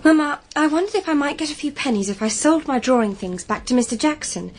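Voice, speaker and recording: Worried victorian big sister, high voice, very up and down, fretful, audio drama. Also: female voice, lively intonation, fast talker, darkish tone, a little hiss or hum. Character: anxious, dutiful Victorian elder sister, gloomy and practical. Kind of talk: period audio drama acting.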